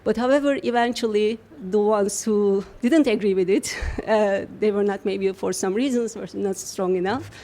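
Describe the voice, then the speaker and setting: A woman researcher answering interview questions in a measured, explanatory way, often pausing on fillers. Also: mid-range voice, dark tone. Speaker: university researcher, guest.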